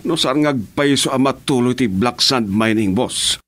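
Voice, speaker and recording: A man's voice: medium pitch, moderate pace, a bit bright, faint music behind, close to an everyday voice. A man playing a cocky, eager henchman in a radio drama, with a boastful delivery.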